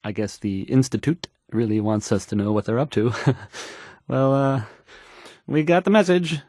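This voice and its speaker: A man's voice: mid-range voice, normal speed, a little mellow, dry recording, clean studio sound, close to an everyday voice. An actor playing a timid, awkward young male radio DJ in a video game, stammering and unsure of himself. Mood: hesitant, uneasy. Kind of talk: video game character acting.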